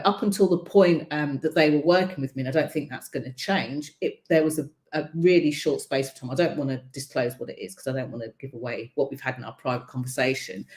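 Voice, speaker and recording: A woman coach with a deep voice and no marked accent, talking casually in a broadcast and filling her speech with ums and you-knows. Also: darkish tone, roomy sound. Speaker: visibility coach hosting a broadcast. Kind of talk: casual spoken coaching talk.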